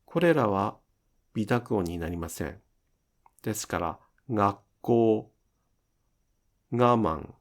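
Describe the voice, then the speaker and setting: A man teaching pronunciation in an instructional style, enunciating deliberately and carefully for language learners. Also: fairly low pitch. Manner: deliberate, careful enunciation with demonstrated example words.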